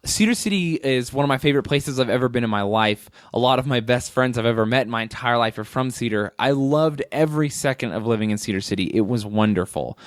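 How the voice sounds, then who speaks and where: A man's voice: medium pitch, fast, few pauses, no room sound, clean studio sound. A man in his late twenties, a guest comedian telling his story in a candid, rambling, self-mocking way during a casual interview. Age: 20s.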